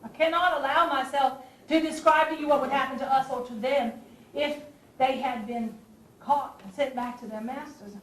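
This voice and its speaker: An echoey, distant stage recording with faint noise carries an actress as an impassioned Southern lady of the Civil War era. Her voice is very high for a woman. She declaims to the audience like a spy confessing her cause, theatrical, with dramatic pauses and pointed questions. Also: steady tone, fairly bright tone. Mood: grave. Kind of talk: stage play monologue addressed to the audience.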